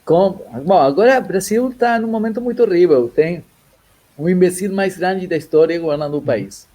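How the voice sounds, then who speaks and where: Male composer guest, husky mellow voice, animated, switches between Portuguese and Spanish. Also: high-pitched, normal speed. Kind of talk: casual conversation.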